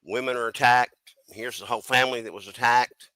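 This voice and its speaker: A man from the US East Coast chatting casually as a stream panelist, giving his opinions in a halting, folksy way. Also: medium pitch, fairly even intonation, pushed and bright, dry recording, rough recording. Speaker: panelist on a crime chat stream.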